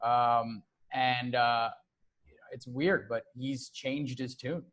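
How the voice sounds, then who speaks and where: A man's voice: medium pitch, fairly even intonation, slow, fairly bright tone. Easygoing man telling a story. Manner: easygoing storyteller, frequent "man" and "you know". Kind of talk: casual podcast chat.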